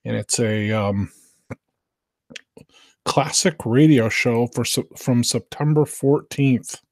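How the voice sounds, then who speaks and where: A man's voice: medium pitch, no echo. Casual man hosting a livestream, dry sound. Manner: informal, halting, greeting listeners. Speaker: livestream host.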